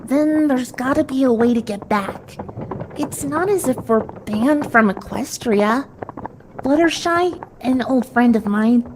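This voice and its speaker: A woman's voice: high-pitched, slight background noise, rough recording. A woman playing a young female pegasus pony in an audio story, earnest, emotional and determined. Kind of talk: dramatised audio story reading.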